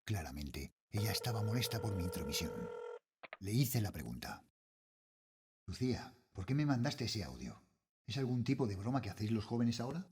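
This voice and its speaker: A man with an accent from Spain playing a worried middle-aged father, a night guard, narrating a horror audio drama in measured, brooding literary style. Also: fairly low pitch, big pitch swings, background noise. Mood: worried, puzzled, then stern, annoyed. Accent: Spanish, from Spain.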